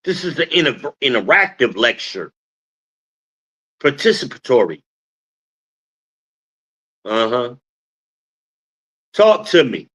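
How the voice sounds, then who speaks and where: A deep-voiced man from New Jersey hosting a casual livestream, telling stories and calling on the chat like a preacher asking for an amen. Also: big pitch swings, slow, long pauses, sharp tone, breathy edge. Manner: preacher-like call and response with the chat. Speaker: podcast host.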